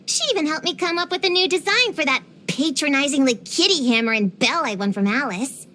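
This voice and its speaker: A woman's voice: high voice, expressive, fairly quick, sharp tone, slight background noise. A woman voicing a precocious, feisty little girl in a video game, earnest and theatrical with grown-up wording. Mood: earnest, chatty. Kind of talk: scripted video game character voice lines.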